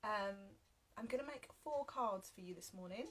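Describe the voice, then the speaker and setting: A woman in her thirties, nursing a heavy cold, teaches a live crafting class from her home. She sounds chatty and upbeat, with frequent little "um"s. She speaks at an ordinary mid pitch. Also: normal speed, a little hiss or hum. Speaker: craft demonstrator. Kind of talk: live card-making class. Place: home craft room with shelves and windows. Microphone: webcam at desk, then overhead camera.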